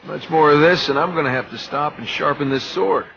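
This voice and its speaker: An actor playing a sarcastic young rogue adventurer who talks in an offhand, wry way. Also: male voice, a little high, a little fast, vocal fry, a bit bright, highs cut off, a little hiss or hum.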